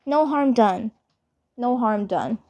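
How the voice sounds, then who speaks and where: A woman's voice: a little high, expressive, takes it slowly, darkish tone. A woman voicing an earnest, polite young cartoon superhero girl, the responsible leader, reassuring and repeating herself. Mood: calming, polite.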